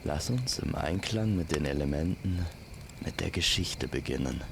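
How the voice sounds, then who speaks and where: Man, very low gravelly voice, gentle poetic campfire storytelling, crickets and night ambience behind. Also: animated, noisy background. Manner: slow, gentle, poetic delivery. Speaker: storyteller, narrator. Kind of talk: immersive scripted campfire storytelling addressed to the listener. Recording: constant night insect and nature ambience. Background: crickets and forest night sounds.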